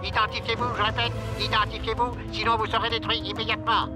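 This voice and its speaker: A man's voice: sits high, little pitch movement, fast talker, natural voice. Cartoon alien sentry, man acting: stern, officious, formal military delivery, music underneath. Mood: stern, commanding. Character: officious alien soldier radio operator, stern and greedy.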